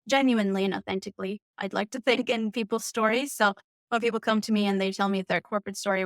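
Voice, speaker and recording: A woman from Turkey whose first language is Turkish, a coach guest on a podcast, chatting casually and warmly with many fillers. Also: mid-range voice, no echo. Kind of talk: casual interview chat.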